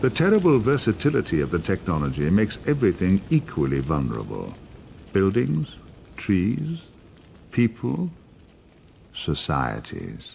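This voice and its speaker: A man playing a grave, brooding narrator of a dark future war in a video game, in solemn, portentous scripted narration. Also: low voice, huge pitch swings, a little slow, gravelly, slight background noise, exaggerated voice. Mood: grave, ominous. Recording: loud music behind the voice. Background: loud music.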